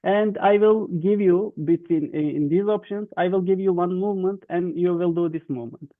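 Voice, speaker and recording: Turkish guy leading a webinar activity, higher voice, tinny phone-like call, halting english. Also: steady tone, dry recording. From Turkey. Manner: halting, repetitive, non-native English. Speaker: youth webinar facilitator. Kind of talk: explaining instructions to an online group. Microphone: video call. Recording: thin, dry phone-like online call.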